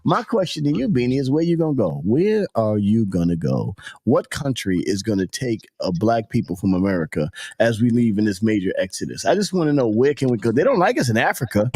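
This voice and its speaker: A man's voice: on the low side, fairly quick, few pauses, husky. A man hosting a morning radio show, bantering loosely and repeating himself as he riffs. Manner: animated, exclaiming, repeats himself.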